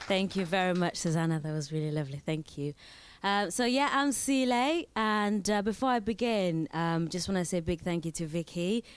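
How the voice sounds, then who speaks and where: A woman's voice: lowish voice, normal speed, clear, resonant tone. A woman from Zimbabwe who is a spoken word performer, reading her poems on stage with short spoken introductions. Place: indoor event venue.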